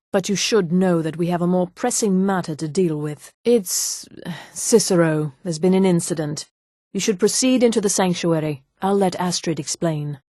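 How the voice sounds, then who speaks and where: A woman playing a poised, coolly menacing elf assassin in a fantasy video game, speaking with formal, measured, deliberate diction. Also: fairly low pitch, warm tone, no room sound, clean studio sound. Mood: grave.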